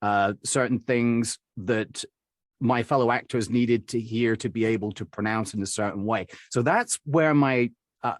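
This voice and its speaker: A middle-aged Englishman chats through his story as an interview guest. His voice sits at an everyday middle pitch and is recorded close and dry, with no room around it. He rambles good-naturedly, full of fillers and quick chuckles. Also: no room sound. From England. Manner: chatty and rambling, with frequent fillers and small laughs. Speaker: accent and dialect coach, guest.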